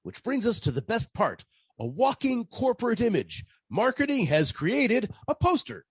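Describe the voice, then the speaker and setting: Man as swooping, husky advertising pitchman. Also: very animated, moderate pace, no room sound, full character voice. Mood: eager salesmanship. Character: brisk, scheming advertising pitchman in comic sketch. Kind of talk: parody ad agency sales patter.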